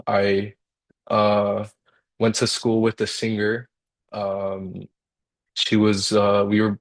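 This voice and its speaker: A young man from Colombia, a guitarist and songwriter, talking casually in a workshop over a video call and pausing often with fillers and restarts. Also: on the low side. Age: young adult. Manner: halting, many fillers and restarts.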